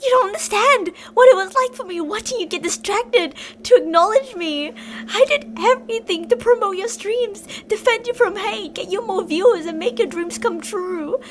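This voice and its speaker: Woman voicing an unhinged yandere fangirl, extremely high voice, possessive, intimate ASMR roleplay, pleading yet menacing, noisy background. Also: lively intonation, normal speed. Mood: aggrieved, intense. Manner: intimate, swinging between insistent pleading and soft whispery menace. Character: obsessive yandere female fan, possessive, unhinged kidnapper. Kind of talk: ASMR roleplay, dramatic monologue addressed to the listener.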